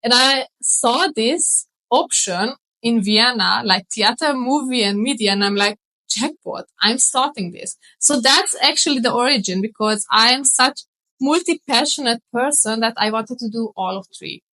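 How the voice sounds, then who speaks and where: This is a woman from Hungary with a strong Hungarian accent, probably in her thirties, speaking English as a guest on an interview show. Her voice sits slightly high and has a bright, forward edge. She talks with lively enthusiasm and laughs often. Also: normal speed, low-quality recording. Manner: enthusiastic, animated, laughs easily. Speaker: guest, marketing mentor. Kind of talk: casual interview chat.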